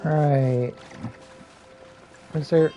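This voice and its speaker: A woman streamer with a low, mellow voice reacts to a game. She is casual, sarcastic and animated. Faint game music plays in the background. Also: drawn-out words. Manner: sarcastic, joking.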